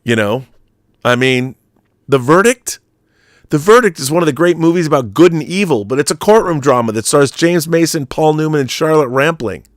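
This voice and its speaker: A man's voice: on the high side, expressive, moderate pace, no echo, clean studio sound. An American man in his fifties hosting a casual talk show, rambling animatedly and emphatically with opinionated tangents and the odd swear word. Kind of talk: casual, rambling opinionated live chat answering viewer messages.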